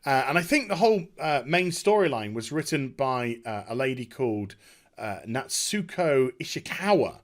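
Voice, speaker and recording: A man talking casually and enthusiastically as a gaming video creator, recapping a story in an emphatic, halting, rambling way. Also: mid-range voice, very animated.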